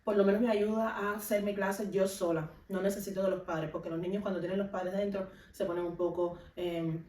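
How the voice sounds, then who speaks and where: A woman's voice: mid-range voice, fairly even intonation, fairly quick, mellow. Cuban woman vlogging in an echoey room. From Cuba. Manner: instructive, addresses viewers directly with advice. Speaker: vlogger and music teacher. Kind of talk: casual explanatory vlog talk to viewers. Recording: echoey room, distant microphone.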